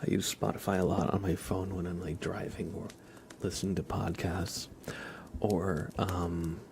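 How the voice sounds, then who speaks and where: A man hosting an ASMR livestream, chatting softly and casually in a hushed, unhurried way that often slips into whispering. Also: low voice, little pitch movement, gravelly, slight background noise. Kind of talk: soft ASMR livestream chat.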